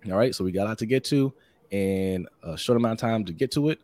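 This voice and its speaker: A hoarse man hosting a casual live-streamed sports podcast, upbeat and repetitive, reading out viewer comments and giving shout-outs. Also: lowish voice, fast talker, dry recording. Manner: upbeat, repeats phrases, many shout-outs. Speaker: podcast host.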